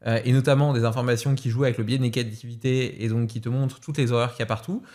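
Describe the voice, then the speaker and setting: A man's voice: mid-range voice, steady tone, quick pace, darkish tone. A man hosting a podcast, in casual conversation, reflective and hesitating often as he thinks aloud. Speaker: podcast host.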